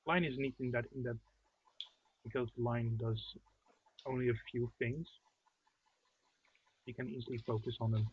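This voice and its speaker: A man co-hosting a card game stream over a remote call, with a husky voice, talking things through with hesitant restarts. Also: medium pitch, steady tone, moderate pace, pauses often, slight background noise. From outside the United States. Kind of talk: casual post-game chat. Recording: dry, tinny call-quality audio.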